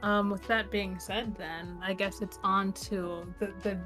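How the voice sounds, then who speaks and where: Rahat konuşan kadın podcast sunucusu. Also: female voice, mid-range voice, fairly even intonation, a little slow, pushed and bright, faint music behind. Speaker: podcast host. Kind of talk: casual fan podcast discussion.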